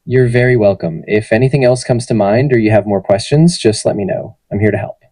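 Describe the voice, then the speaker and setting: Fast, husky synthetic male assistant voice. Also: on the low side, no room sound. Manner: friendly, even, polished delivery. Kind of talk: conversational answers to interview questions.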